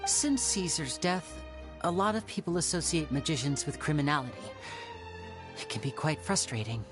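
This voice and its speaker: A woman playing a suave, theatrical young male stage magician in an anime game, smooth and showmanlike. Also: low-pitched, normal speed, natural voice. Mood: gracious. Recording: music playing behind.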